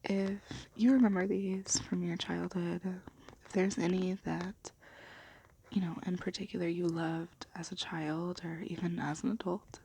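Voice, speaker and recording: Woman, soft-spoken ASMR, lowish pitch, creaky vocal fry, breathy, hushed, gentle. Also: little pitch movement, measured pace, slight background noise. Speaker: ASMR video host and storybook reader. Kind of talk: soft-spoken and whispered ASMR, chat and bedtime storybook reading.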